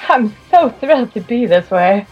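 A sweet young superheroine acted by a woman speaks in a high, lively voice. She is dainty, wide-eyed and full of glee, as in an amateur radio play of a comic book. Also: normal speed, husky, lo-fi sound, faint music behind. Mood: thrilled.

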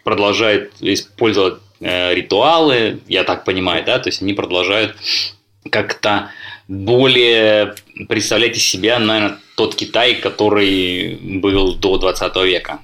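A male interviewer with a fairly low yet bright, forceful tone. He builds his questions slowly, circling and hesitating before handing over to the guest. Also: fairly even intonation. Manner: rambling, searching questions.

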